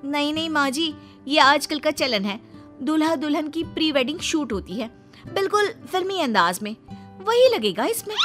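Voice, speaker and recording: A woman voicing an excitable, film-crazy young daughter-in-law in a comic story, gushing and eager. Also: sits high, moderate pace, soft background music, pitched above the performer's own voice.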